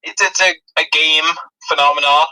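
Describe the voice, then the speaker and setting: A man with a fairly high, extremely bright and sharp voice co-hosts a film podcast. His talk is casual and jokey, and the sound is thin, like a radio or phone. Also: fairly even intonation, brisk, rough recording. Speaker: podcast co-host.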